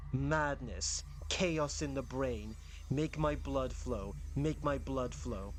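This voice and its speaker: A man's voice: mid-range voice, a little hiss or hum. A young man from Wales with a dry, self-mocking humor, narrating a scripted commentary about a band. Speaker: video essayist.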